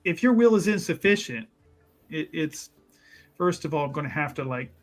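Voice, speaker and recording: A man improvising a smooth-talking, scheming adventurer in a tabletop roleplaying game, halting and wheedling as he offers condescending reassurance. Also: on the high side, lively intonation, faint music behind, lightly acted. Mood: scheming, hedging. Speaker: tabletop role-playing player. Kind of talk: improvised in-character actual play.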